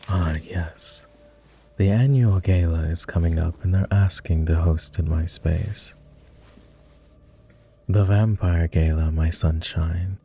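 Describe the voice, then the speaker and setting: A man voices a refined, doting vampire gentleman for romantic roleplay audio. His voice is very low with a gravelly creak, and he speaks in slow, formal phrases. He is courtly and affectionate, with a gentle teasing streak. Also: exaggerated voice. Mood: fond, measured. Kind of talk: romantic roleplay ASMR acting.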